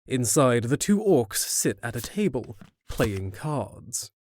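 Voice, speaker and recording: A man's voice: medium pitch, sing-song, slow, darkish tone, dry recording, clean studio sound. A man narrating a scripted fantasy audio drama in a descriptive storytelling manner.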